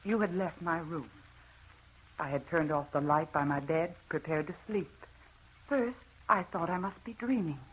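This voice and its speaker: An actress playing a tragic, haunted wife in an old radio drama, speaking with tender, earnest melodrama. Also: female voice, low voice, measured pace. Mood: troubled, haunted. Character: tragic, haunted young wife with a mysterious past. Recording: muffled, noisy old radio recording.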